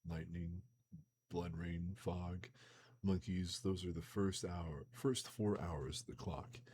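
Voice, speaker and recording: A man with a very low, slightly hoarse voice reads a novel aloud for his live stream. He moves through the passages at a steady pace and drops into loose, slangy chat between them, over a faint hum on the desk mic. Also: slow. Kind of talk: live-streamed book reading with casual asides.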